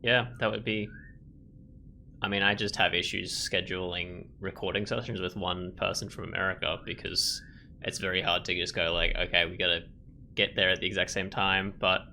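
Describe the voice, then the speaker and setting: A man's voice: deep voice, flat delivery, moderate pace, gravelly, bright, forceful tone, slight background noise. A man giving casual let's play commentary, thinking aloud in a rambling, musing way. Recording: faint game music behind.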